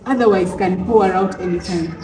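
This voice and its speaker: A woman's voice: fairly low pitch, moderate pace. A woman with a Ugandan accent explaining things conversationally as a counselor guest, in short, broken phrases. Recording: echoey room with background noise.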